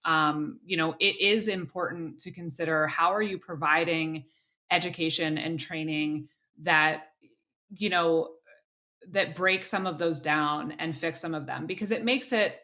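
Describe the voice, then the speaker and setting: A woman's voice: lowish voice, sharp tone. A woman hosting an interview podcast, speaking thoughtfully with frequent "you know"s and restarts. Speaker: podcast host.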